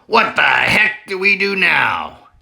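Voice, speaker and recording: Storybook farmer, grown man, waddling and furious, cursing in a shrill bark; a male reader puts him on. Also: big pitch swings, slow, creaky, exaggerated voice. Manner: high, loud, blustering outbursts.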